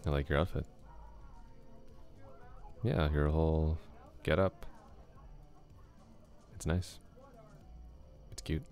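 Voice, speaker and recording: A man playing a laid-back flirty classmate, very deep. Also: fairly even intonation, slow pace, long pauses, a little mellow. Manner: casual, easygoing, chuckles often. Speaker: ASMR roleplay voice actor. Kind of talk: ASMR roleplay, soft close talk.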